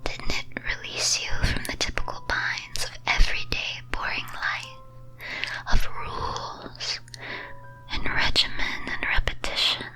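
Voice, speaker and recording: A woman playing a teasing, wicked demon mistress in a breathy, theatrical ASMR whisper, full of dramatic rhetorical questions. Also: unhurried, pushed and bright, soft background music, rough recording. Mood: seductive, provoking. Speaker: streamer performing as a demon-mistress persona.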